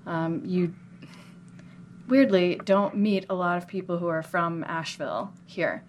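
Earnest American woman, lowish voice, background noise. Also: unhurried. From Minnesota, United States. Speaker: interview guest, chocolate business owner. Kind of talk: conversational interview storytelling. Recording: clear background noise, room ambience.

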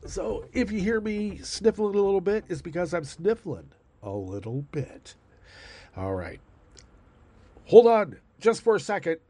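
Man, host, stuffed-up nose, sarcastic, rambling, dark tone. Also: high-pitched, very animated, measured pace, no room sound. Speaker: podcast host. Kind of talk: casual monologue.

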